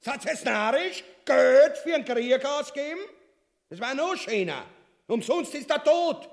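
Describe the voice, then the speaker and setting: An actor playing a cowardly, greedy, foul-mouthed tyrant in a grotesque stage farce, with a Viennese accent and whiny, blustering delivery. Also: male voice, very high-pitched, lively intonation, a little slow, gravelly, full character voice. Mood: outraged. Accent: Viennese Austrian.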